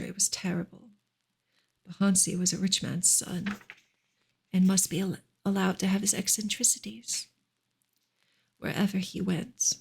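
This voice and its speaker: Soft asmr lady reading a novel, super breathy, bit of creak in it, almost whispering. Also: mid-range voice. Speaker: reader, ASMR creator.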